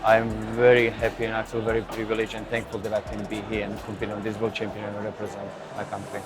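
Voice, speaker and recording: A man's voice: lowish voice, completely flat delivery, fast talker. A man whose first language is Slovak, interpreting an interview in careful, plain phrasing. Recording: music playing behind.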